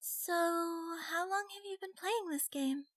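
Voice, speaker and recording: A young fox girl from a fantasy game story, acted out by a male narrator. The voice sits very high and sounds cutesy and nervous. She stammers shyly, yet has a feisty streak. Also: slow, a bit bright, exaggerated voice. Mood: friendly. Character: shy, feisty young fox girl gamer, easily flustered.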